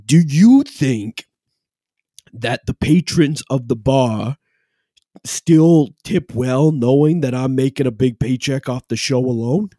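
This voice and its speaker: A man's voice: mid-range voice, slow pace, warm tone, no room sound. A man playing a brash, self-important male bartender who wants reality-TV fame, talking in a rambling, boastful, mock-modest way. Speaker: guest. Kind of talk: improvised comedy character interview.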